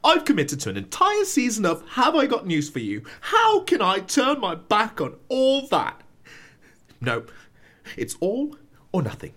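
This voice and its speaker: Fretful comedian, wildly swinging pitch. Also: male voice, huge pitch swings, unhurried, fairly bright tone, heavily acted. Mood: desperate. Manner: fretful, hesitant, melodramatic. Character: despairing famous male comedian, anxious and humourless. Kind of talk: scripted audio comedy acting.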